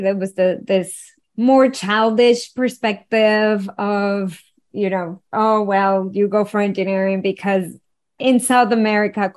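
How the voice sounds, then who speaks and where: A middle-aged woman from Venezuela with a Venezuelan accent, a podcast guest telling her story in an animated, playful way with frequent laughs. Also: mid-range voice. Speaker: guest, wellness engineer. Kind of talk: conversational storytelling.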